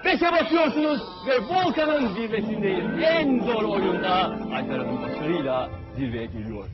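A young man commentates live as host of a TV game show. His high voice swings with excitement and encouragement, over loud game music in dull audio. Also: normal speed. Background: cartoon game music.

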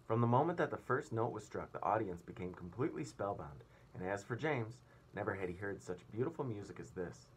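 A man's voice: fairly low pitch, expressive, brisk, few pauses. A man in his thirties, a teacher reading a children's story aloud in a small indoor room. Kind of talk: storybook read-aloud narration. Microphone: device at about arm's length. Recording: clear room noise.

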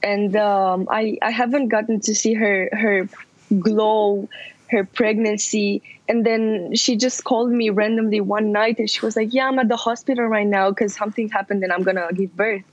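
A woman's voice: medium pitch, fairly even intonation, moderate pace, no room sound, muffled recording. A young woman from Romania, a student guest, telling a personal story candidly. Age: young adult. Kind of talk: casual interview answers. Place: indoor room.

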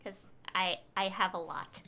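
A woman's voice: mid-range voice, measured pace, sharp tone, slight background noise. A woman in her twenties giving casual, rambling, giggly commentary as a game streamer.

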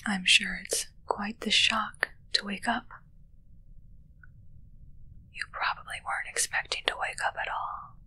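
A young woman playing a calm, controlling witch in an ASMR roleplay, speaking in a slow, breathy, menacing whisper close to the mic. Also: medium pitch, poor audio. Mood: cool, musing. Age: young adult. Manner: slow, hushed, soothing yet menacing. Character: sinister, calm, controlling witch who raises corpse familiars. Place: small dark curtained room indoors. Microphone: close mic, whispering near camera.

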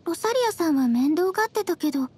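Young female traveler, very high voice, gentle, composed, polite, quietly teasing, anime game. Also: expressive, fast, heavy background noise. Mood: matter-of-fact.

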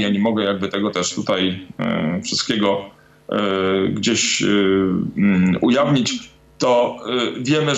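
A man in his forties speaking as a guest on a talk show over a video call from his home study, in a halting, deliberate way with many pauses. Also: lowish voice, a bit bright, reverberant. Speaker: guest commentator. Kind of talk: remote talk-show interview. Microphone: video call webcam.

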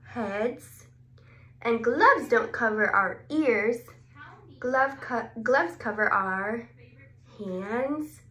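A woman in her twenties reads a picture book for small children, with exclamations and simple questions. Her voice is fairly high and her delivery unhurried. It is recorded in an echoey small room with noticeable background noise. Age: 20s. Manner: expressive, exclaiming, asks questions and pauses before answering. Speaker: speech therapist. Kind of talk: animated read-aloud of a children's picture book, with questions and answers. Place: small indoor clinic room. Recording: roomy echo, clear background noise.

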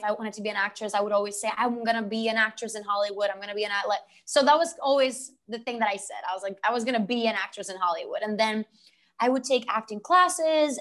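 A young woman from Puerto Rico, a guest actress chatting on a video call through earphones, telling stories with lively gestures. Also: on the high side. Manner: animated storytelling with laughs and gestures. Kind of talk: casual interview chat. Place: home room with blue wall. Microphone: earphone headset mic on video call.

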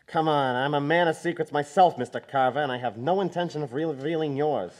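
Guy doing a grumpy impatient farmer in a radio drama, highish voice, sarcastic and blustery. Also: brisk, natural voice. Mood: demanding, wary. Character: irritable, impatient, suspicious male farm owner. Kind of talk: live old-time radio drama acting. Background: music.

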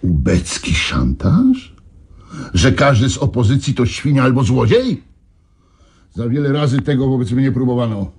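An actor playing a smooth but defensive male government minister in a radio drama, courteous on the surface and quick to take offense. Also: mid-range voice, lively intonation, a little mellow. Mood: indignant. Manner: smooth and courteous, turning indignant. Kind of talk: 1990s radio drama acting.

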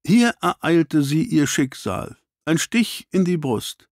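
A man reading an audiobook, voicing an analytical, ironic gentleman detective with precise, clipped statements. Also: on the high side, expressive, slow pace, dry recording, studio quality, voice pushed up.

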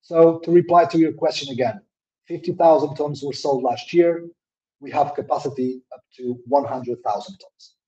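A man with a somewhat high voice but a warm, mellow tone fields questions at a webinar. He hesitates, restarts and repeats words as he works his way through each answer. The call audio is dull and lo-fi. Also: moderate pace. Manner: says his own voice is a little deep. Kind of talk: live webinar Q&A. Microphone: laptop mic on a video call.

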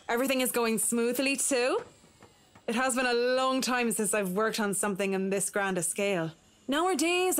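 Polite, reserved professional woman, fairly high-voiced actress. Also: close to an everyday voice. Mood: earnest. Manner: polite, formal, measured. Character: reserved, earnest professional woman interior designer. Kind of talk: visual novel voice acting.

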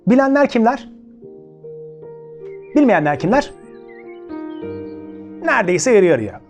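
Animated Turkish man telling children's stories. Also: very high voice. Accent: Turkey Turkish. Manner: expressive, addresses listeners as friends. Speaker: children's storytelling show host. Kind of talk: children's storytelling with direct questions to listeners.

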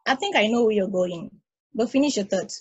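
Woman reading a calm ageless probe, husky, patient, philosophical. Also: fairly high pitch, fairly quick, dull audio. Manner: calm, reasoned explaining. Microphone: video call.

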